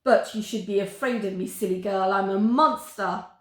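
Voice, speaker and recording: A woman's voice: fairly high pitch, slow, gravelly, warm tone, echoey room. A woman voicing a huge ravenous male monster in a read-aloud story, purring and whispering greedily. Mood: indignant.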